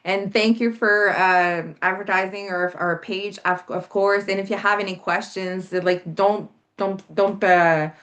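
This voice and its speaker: A woman's voice: mid-range voice, fairly even intonation, a little slow, hardly any pauses, fairly bright tone. A Canadian woman speaking as an interview guest, earnest and emphatic, often repeating her point. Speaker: guest, health coalition organizer. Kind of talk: casual interview talk.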